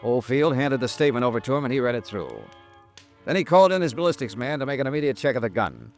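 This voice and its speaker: A man's voice: mid-range voice, expressive, quick pace, darkish tone, lightly acted. An American man acting a hard-boiled, stubborn insurance investigator and narrator in an old-time radio detective drama, clipped and wry. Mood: brisk, businesslike. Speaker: lead actor and narrator. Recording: vintage radio recording with music.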